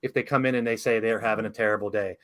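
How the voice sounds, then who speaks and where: Excitable middle-aged talk show host. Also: male voice, on the low side, rapid-fire. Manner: enthusiastic, emotional, rambling storyteller. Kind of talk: casual interview chat.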